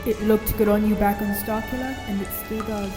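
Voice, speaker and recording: A woman voicing a calm, patient, sisterly young mentor in a fan audio drama, gentle and measured. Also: mid-range voice, natural voice. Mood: proud, tender. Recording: echoey hall-like reverb. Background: faint music.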